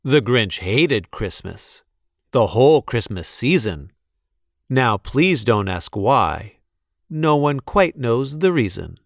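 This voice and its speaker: A man reading a children's story aloud with dramatic, deliberate, theatrical emphasis. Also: mid-range voice, big pitch swings, gravelly, no room sound, clean studio sound. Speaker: storybook reader. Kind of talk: expressive children's read-aloud narration.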